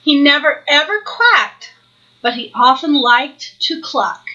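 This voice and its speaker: A woman reading a children's picture book aloud in an expressive sing-song way, pausing to ask listeners questions. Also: sits high, dull audio, slight background noise. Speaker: storybook reader.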